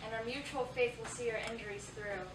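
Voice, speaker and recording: Woman acting an earnest high-voiced young volunteer. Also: fairly even intonation, fairly quick, lightly acted. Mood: earnest, caring. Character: earnest young female volunteer writing to wounded soldiers. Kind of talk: staged play reading, rhymed verse. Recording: very echoey theatre, distant mic, noisy.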